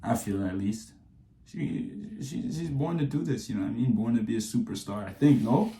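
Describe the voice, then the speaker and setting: A man's voice: medium pitch, expressive, moderate pace, mellow, roomy sound. A man hosting a reaction video, chatting in a slangy, laid-back and easygoing way. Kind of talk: casual reaction commentary.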